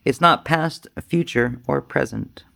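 A man's voice: medium pitch, unhurried, creaky, bright, forceful tone. A man speaking as a Zen teacher in an informal dharma talk, with a self-deprecating, rambling manner.

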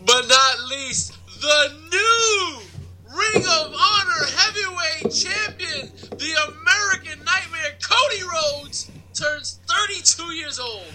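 A man hosting a comedic wrestling news segment with banter, speaking in an animated, mock-earnest way. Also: extremely high pitch, slow pace, shouting, over a noisy crowd, low-quality recording. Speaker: host reading wrestling news. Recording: music playing behind the voice. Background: music.

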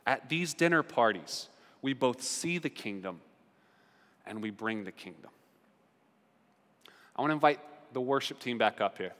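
A male pastor delivers a sermon in an earnest tone, pushing louder and higher as he builds toward key points. His voice sits at an ordinary middle pitch. The sound trails off in the long reverb of a large church sanctuary. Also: lively intonation, a little slow, long pauses.

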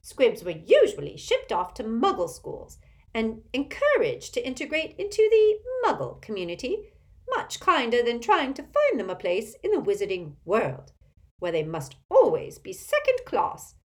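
A woman's voice: on the high side, huge pitch swings, moderate pace, pitched above the performer's own voice. A woman voicing a haughty, spiteful, tipsy old witch aunt in a storybook, cackling and screechy, with an emphatic gossipy manner. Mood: haughty, relishing.

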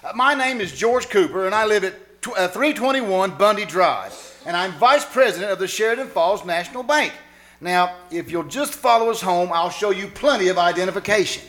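A man's voice: sits high, animated, a little fast, fairly bright tone. A man playing a harried, respectable banker husband in an old-time radio comedy, flustered and placating. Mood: flustered pleading.

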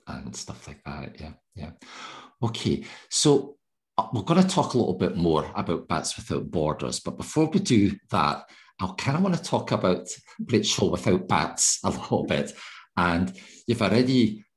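A man hosting a casual interview podcast, chatty and teasing, with rambling questions. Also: rumbling low. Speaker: podcast host.

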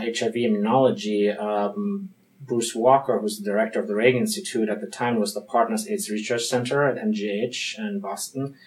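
A man's voice: mid-range voice, poor audio. A man from Germany with a German accent, a physician-researcher answering interview questions in a thoughtful, hesitant way with frequent ums and restarts.

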